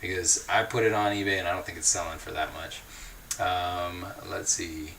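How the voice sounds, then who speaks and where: A man hosting a livestream, chatting casually and reading viewer comments in a relaxed, easygoing way, laughing often and thinking aloud with fillers. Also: sits low, moderate pace, vocal fry, a little hiss or hum. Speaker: livestream host.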